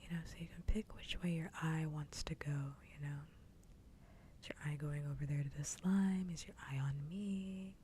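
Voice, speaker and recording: A woman livestreaming ASMR, chatting casually and playfully in a soft, breathy whisper close to the microphone. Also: deep voice, fairly even intonation, normal speed, a little hiss or hum. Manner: playful, rambling.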